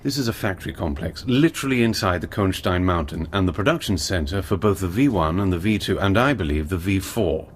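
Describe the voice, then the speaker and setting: An actor playing a ruthless, authoritative British army major in a wartime radio drama, clipped in manner. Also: male voice, deep voice, animated, brisk, few pauses. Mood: briskly commanding. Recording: heavy vehicle noise behind the voice.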